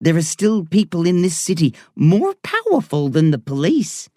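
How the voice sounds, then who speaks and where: A reader voicing a blunt but kind woman doctor with an Irish accent, earthy and warm in her talk. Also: mid-range voice, wildly swooping pitch, moderate pace, no echo, studio quality, voice pushed up. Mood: earnest. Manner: salty, earthy talk said with warmth. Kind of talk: audiobook character reading.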